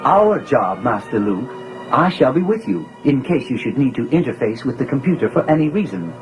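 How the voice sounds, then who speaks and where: A man's voice: mid-range voice, big pitch swings, moderate pace, rough recording. An actor voicing a fussy, prim male protocol droid who speaks formally. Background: music.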